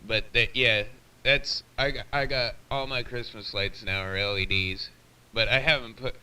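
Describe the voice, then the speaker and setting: A man's voice: mid-range voice, steady tone, normal speed, bright, forceful tone, smooth. A man chatting casually over CB radio in a plain-spoken, slightly grumbling way, with a distant, hissy sound. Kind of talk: casual chat. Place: on a CB radio live feed.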